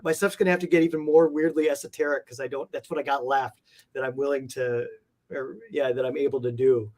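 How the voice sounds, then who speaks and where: A man's voice: mid-range voice, steady tone, a little fast, a little mellow. Enthusiastic man rambling in casual conversation. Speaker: interview guest, art collector. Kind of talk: casual chat.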